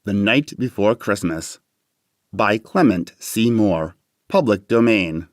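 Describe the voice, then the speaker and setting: A man's voice: lowish voice, animated, slow, noisy background. A middle-aged man speaking as a podcast co-host, in talk and reading. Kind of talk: friendly podcast chat and poem reading.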